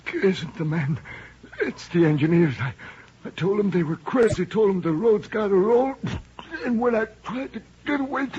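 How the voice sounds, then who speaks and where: Mortally hurt mechanic, weak and urgent, vintage radio melodrama, dull with faint hiss. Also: male voice, high voice, animated, normal speed, a little mellow. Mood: desperate, dying. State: gasping, weak, badly hurt. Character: mortally wounded union mechanic, desperate to warn his boss. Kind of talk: 1950s radio melodrama acting.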